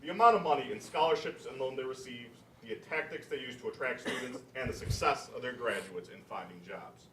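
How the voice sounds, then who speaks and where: A man's voice: mid-range voice, brisk, hardly any pauses. A young man reading scripted narration aloud as a student presenter, stumbling now and then. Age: young adult. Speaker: student presenter and narrator at a readers theater event. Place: room at a college library event. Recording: echoey, distant mic with faint noise.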